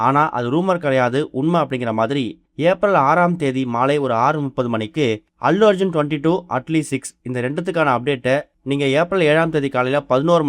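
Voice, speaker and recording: A man's voice: medium pitch, no echo. A man presenting entertainment news in a scripted narration style.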